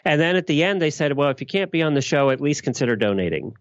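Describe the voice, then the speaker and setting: A man's voice: fairly high pitch, very animated, quick pace, dry recording, lo-fi sound. A man giving blunt, emphatic answers in a conversational podcast interview. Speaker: podcast guest.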